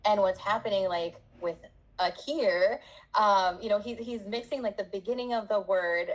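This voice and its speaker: A woman's voice: medium pitch, moderate pace, poor audio. A bilingual Spanish and English speaking woman who grew up in Puerto Rico, answering conversationally as an interview guest, explaining things in a talkative, emphatic way. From born in the US, raised in Puerto Rico.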